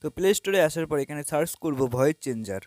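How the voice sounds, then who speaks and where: Dry, slightly high-voiced man giving a tech tutorial. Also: quick pace, dry recording. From Bangladesh. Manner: opens nearly every sentence with the same filler, calm and instructive. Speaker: tech tutorial channel host. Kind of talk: step-by-step app tutorial narration.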